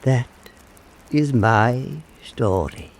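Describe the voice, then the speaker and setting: A man playing an old Irish island storyteller, telling an eerie fireside tale in a deliberate, theatrical way for radio drama. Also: medium pitch, slight background noise. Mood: eerie, measured.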